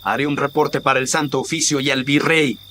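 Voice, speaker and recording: A man's voice: mid-range voice, steady tone, brisk, pushed and bright, pitched above the performer's own voice, close to an everyday voice. A man voicing a stern, skeptical colonial judge in a horror radio drama, with a formal, official manner. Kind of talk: audio drama acting.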